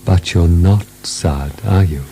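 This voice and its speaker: Deep, mellow, needling philosopher. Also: male voice, slight background noise. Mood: needling, reasoning. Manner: measured, probing, lecturing. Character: calm, needling male philosopher, a prisoner's companion. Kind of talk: radio drama acting.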